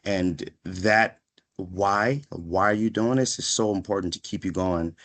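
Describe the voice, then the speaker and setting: Low-pitched man giving a reflective talk. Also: a little slow, a bit bright. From born in Ghana, raised in Washington, D.C. area from age five. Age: 30s. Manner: reflective, conversational, often checks in with "right?". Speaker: guest speaker, psychiatric pharmacist. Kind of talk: motivational career talk to students. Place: online video session.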